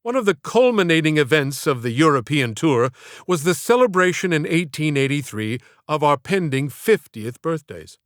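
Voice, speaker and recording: A man reading literary scripted narration as an audiobook author, in a measured, theatrical way. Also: a little high, sing-song, fairly bright tone, no room sound, clean studio sound.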